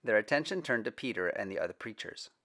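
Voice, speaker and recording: A man narrating an audiobook with expressive storytelling. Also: fairly low pitch, fast. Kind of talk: scripted narration.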